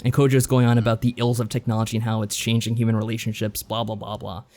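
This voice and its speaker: A man's voice: on the low side, flat delivery, quick pace, darkish tone. A man co-hosting a podcast, recapping a story in casual chat with a rambling, wry delivery. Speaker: podcast co-host.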